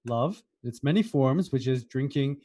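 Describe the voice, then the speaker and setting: This is an American man with a soft, mellow tone that stays relaxed and unforced. He chats casually as a podcast co-host, with a wry streak and a habit of teasing. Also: medium pitch, unhurried. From United States. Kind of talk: casual chat.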